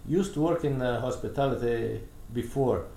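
Gravelly man. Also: mid-range voice, warm tone, a little hiss or hum. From Romania. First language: Romanian. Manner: hesitant, many pauses and fillers, good-humored. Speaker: guest, restaurant owner. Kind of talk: casual interview answers. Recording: roomy sound with faint background.

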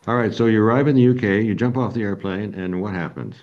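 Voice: medium pitch, quick pace, highs cut off. An interviewer asking questions in a casual interview and encouraging the guest with brief replies.

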